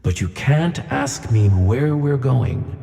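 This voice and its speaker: Man as mysterious talking tuna, gravelly, slow-paced, earnest, soothing, children's audio play, long reverb. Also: medium pitch, lively intonation, dark tone. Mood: encouraging. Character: male flying tuna fish, reassuring, mysterious mentor.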